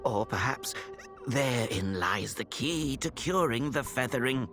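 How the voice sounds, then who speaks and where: An actor playing a curious, calculating scholar in a video game, pondering aloud with deliberate, theatrical expression. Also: male voice, mid-range voice, animated. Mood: intrigued, pondering. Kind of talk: video game cutscene acting. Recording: music behind the voice.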